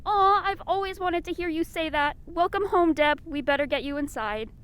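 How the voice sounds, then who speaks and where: Woman playing a high-voiced, sweet nursing home hostess. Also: moderate pace, fairly bright tone. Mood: cheerful, unfazed. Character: cheerfully morbid woman running a nursing home. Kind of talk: comic audio drama acting.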